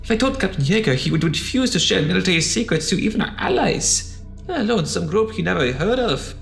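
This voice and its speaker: A man's voice: high-pitched, sing-song, a little fast, gravelly, fairly bright tone, soft background music, exaggerated voice. A man playing an anxious, defensive scientist in an audio drama, hesitant and stammering as he pleads. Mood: pleading, defensive. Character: anxious, defensive male scientist pleading his good intentions.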